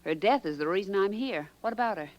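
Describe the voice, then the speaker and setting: An actress playing a brisk, sardonic secretary turned sleuth in 1940s radio style. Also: female voice, medium pitch, animated, lo-fi sound, lightly acted. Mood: brisk, probing. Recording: noisy old radio recording.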